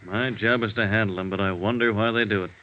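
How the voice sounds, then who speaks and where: An actor playing a world-weary, matter-of-fact emergency doctor in an old-time radio drama, with clipped, businesslike delivery. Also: male voice, fairly low pitch, fairly even intonation, fast, dull audio, natural voice. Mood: weary, curious. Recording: vintage radio recording, band-limited, faint hiss.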